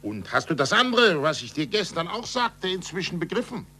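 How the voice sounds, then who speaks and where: A man's voice: high voice, big pitch swings, normal speed, pushed and bright, husky, exaggerated voice. An actor playing a passionate, impatient male scholar with a didactic, emphatic delivery in a 1940s German radio play. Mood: eager teaching. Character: passionate, impatient scholar and teacher, wryly mocking.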